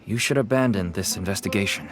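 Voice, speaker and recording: An actor playing a smooth-talking middle-aged smuggler and father in a video game, conciliatory and a little theatrical. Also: fairly low pitch, fast, music in the background, natural voice. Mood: cautioning. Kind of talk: video game character acting.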